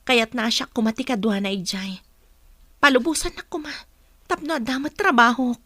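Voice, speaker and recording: A woman's voice: fairly high pitch, moderate pace, a bit bright, dry recording. A woman with an Ilocano accent playing a worried, caring mother and housewife in a radio comedy drama, speaking earnestly. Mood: aggrieved, pleading.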